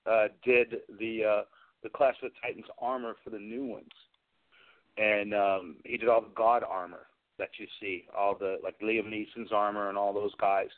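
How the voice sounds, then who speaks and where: A man's voice: fairly low pitch, steady tone, normal speed. A man chatting as an interview guest, telling enthusiastic, rambling stories about his own work as an effects artist and performer. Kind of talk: conversational anecdote telling in an interview. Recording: tinny phone-like radio call.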